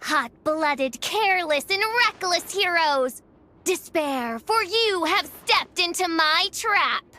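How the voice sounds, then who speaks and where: Pompous, theatrical young princess. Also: female voice, extremely high pitch, lively intonation, slow, bright, forceful tone, exaggerated voice. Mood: grandly menacing. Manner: pompous, archaic, declamatory speech. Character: theatrical teenage girl styling herself a grandiose princess. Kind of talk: anime game voice acting. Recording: music behind the voice. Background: music.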